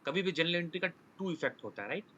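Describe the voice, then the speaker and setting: An Indian man with a bright, slightly high voice teaches an online class. He explains through examples, repeating himself and dropping in English technical terms. There is some background noise on the recording. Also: animated, quick pace, highs cut off. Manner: repeats and rephrases points, checks understanding with tag questions. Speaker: online course instructor. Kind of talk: live lesson explaining with examples. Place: online class with screen sharing.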